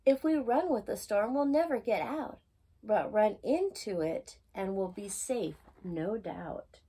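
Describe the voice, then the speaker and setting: Woman voicing a brave, husky little bison. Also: medium pitch, expressive, a little slow, dark tone. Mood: reassuring, confident. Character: brave, clever small young male bison rallying friends.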